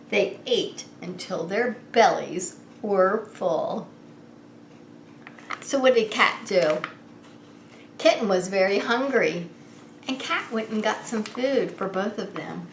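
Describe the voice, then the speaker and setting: A woman reading a picture book aloud to young children as a preschool story time host, expressive and unhurried, drawing the listeners in. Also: mid-range voice. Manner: animated, unhurried, asks the child listeners questions. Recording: roomy echo with faint background noise.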